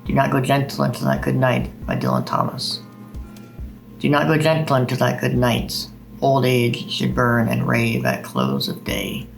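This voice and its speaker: A man's voice: medium pitch, very animated, normal speed, gravelly, faint music behind, poor audio. A man hosting a video of poetry readings. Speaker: video host reading poems.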